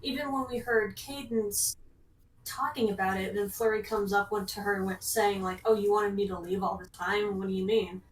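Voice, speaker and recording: A woman's voice: mid-range voice, steady tone, normal speed. A woman speaking as a co-reviewer in casual discussion, recorded at a distance from the microphone. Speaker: co-reviewer on a reaction show.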